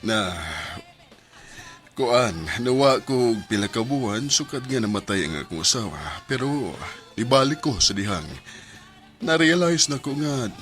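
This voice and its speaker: A man's voice: mid-range voice, animated, unhurried, a bit bright, soft background music. An actor playing an aging widowed man tenderly courting a younger woman in a Cebuano radio romance drama, with a Cebuano accent. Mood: warm, wistful.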